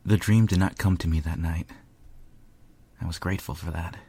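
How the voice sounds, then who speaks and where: A man narrates a first-person story in a deep, low voice. His reading is scripted fiction, with music playing behind him. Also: fairly even intonation, normal speed, dark tone, husky.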